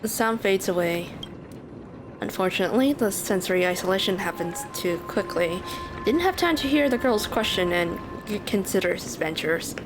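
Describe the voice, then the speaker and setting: A woman's voice: medium pitch, animated, normal speed, poor audio. A woman giving casual commentary as she streams a game playthrough. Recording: game music underneath.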